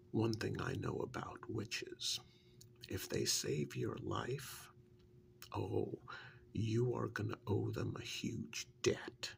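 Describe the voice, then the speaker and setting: A man playing a witch's assistant in an ASMR role-play, softly telling his story in an earnest, wary, hesitant way with a breathy, gravelly voice. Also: fairly low pitch, slight background noise, heavily acted. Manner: hesitant, unhurried first-person storytelling.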